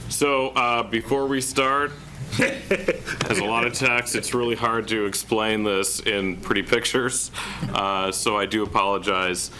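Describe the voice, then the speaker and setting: A man presenting as a city planning director at a public meeting, in a self-described monotone with many uh fillers. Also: lowish voice, a little slow, a little hiss or hum. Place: council chamber.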